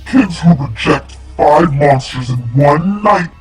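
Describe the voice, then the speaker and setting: A scruffy boy monster from a storybook, whining and pushy, as a woman puts him on. His voice is deep and slow, loud and bellowing, with soft music under it. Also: animated, roomy sound, recorded from a distance. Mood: whiny. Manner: whiny, pushy. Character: scruffy male monster Mac under the bed.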